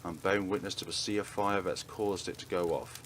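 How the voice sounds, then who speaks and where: A man's voice: low voice, fairly even intonation, brisk, fairly bright tone, a little hiss or hum. A man reading a visual novel aloud in a dramatic narrating style as a let's play host. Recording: music playing behind. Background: game music.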